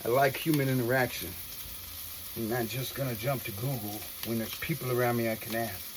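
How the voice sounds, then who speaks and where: A woman's voice: very deep voice, fairly even intonation, fairly quick, noisy background. A woman talking casually to viewers in an earnest, reflective monologue, with slangy, profane phrasing. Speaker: vlogger.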